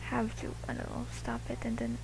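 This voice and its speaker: A woman's voice: a little fast, in a whisper, poor audio. A woman giving casual gameplay commentary, muttering short, fragmented reactions. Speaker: gamer. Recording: game music behind the voice.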